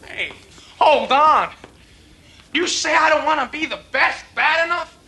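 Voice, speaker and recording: An actor playing a cocky, hot-headed young pool hustler with an American accent, intense, brash and defensive, in a television drama. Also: male voice, very high-pitched, animated, a little slow, pushed and bright, heavily acted. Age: young adult. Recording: television soundtrack with music behind.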